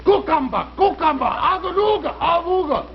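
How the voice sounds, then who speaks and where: A man's voice: very high voice, animated, normal speed. A man playing a pompous, cartoonish jungle chief in a 1950s TV sketch, speaking in clipped, broken phrases. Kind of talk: 1950s live TV sketch comedy. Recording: dull, hissy 1950s TV soundtrack.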